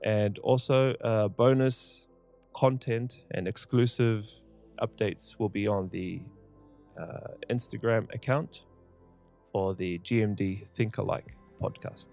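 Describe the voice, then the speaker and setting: A man's voice: lowish voice, steady tone, slow pace, vocal fry, a little mellow, faint music behind. A man hosting his own podcast, earnestly explaining his plans and then interviewing his mother, with frequent hesitations. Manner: earnest, hesitant, many fillers. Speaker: podcast host. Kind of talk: casual podcast intro and interview.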